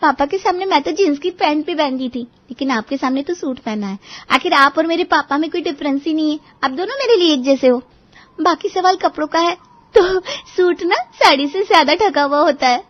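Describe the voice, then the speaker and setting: Woman voicing a very high, chirpy young daughter-in-law. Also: a little fast, natural voice. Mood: cheeky. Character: outspoken young daughter-in-law, cheerful and cheeky. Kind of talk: Hindi animated moral story dialogue. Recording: dull, lo-fi audio.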